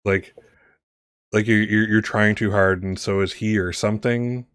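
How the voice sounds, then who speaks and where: A man's voice: on the low side, fairly even intonation, measured pace, a bit bright, clear, resonant tone, dry recording, studio quality. A man narrating a tabletop role-playing game as game master, conversational and rambling, often correcting himself.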